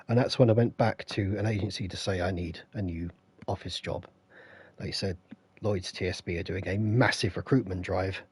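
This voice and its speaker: Croaky low-voiced English man. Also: a little mellow, dry recording. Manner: rambling, anecdotal, stops to greet viewers. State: croaky, unwell. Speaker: streamer. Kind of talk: casual storytelling to live chat. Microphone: headset.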